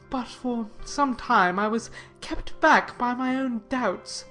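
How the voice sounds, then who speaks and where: A formal, deliberate letter reading by a woman from an audiobook drama. The voice is slightly high, carrying obsequious, pompous phrases over faint music. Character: pompous, obsequious clergyman's letter, read aloud.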